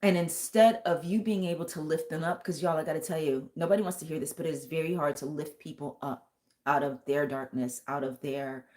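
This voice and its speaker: A woman with a thick, lower voice giving a live tarot reading and talking straight to her viewers with earnest, intuitive warmth. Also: fairly even intonation, a little fast. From United States. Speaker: tarot reader and vocal coach.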